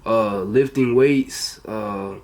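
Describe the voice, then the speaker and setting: A man's voice: fairly low pitch, drawn-out words, darkish tone. A teenage male with an American accent chatting casually to camera in an earnest, rambling and repetitive way. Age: teenager, under 18. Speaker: fitness vlogger. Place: bedroom at home, indoors.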